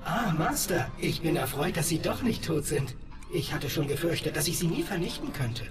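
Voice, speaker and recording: A man voices a fussy robot servant from a game. His raised voice is highly animated, formal and deferential. It sounds distant, beneath loud music. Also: normal speed, close to an everyday voice. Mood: eager, polite. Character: polite, fussy male servant droid with murderous programming.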